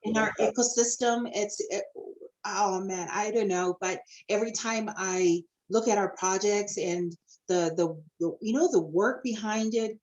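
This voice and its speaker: A woman's voice: mid-range voice, a little slow, darkish tone, muffled recording. Gushing woman hosting an online interview. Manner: gushing, effusive, many fillers. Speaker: host.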